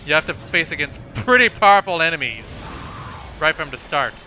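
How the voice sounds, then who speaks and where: A man's voice: on the high side, animated, normal speed, pushed and bright. A man hosting a casual video game playthrough, thinking aloud in a hesitant, rambling way. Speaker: gaming video host. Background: video game music.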